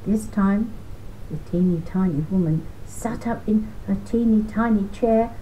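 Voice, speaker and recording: Slow, mellow older woman storyteller. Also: mid-range voice, slight background noise, poor audio. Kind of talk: children's storybook reading.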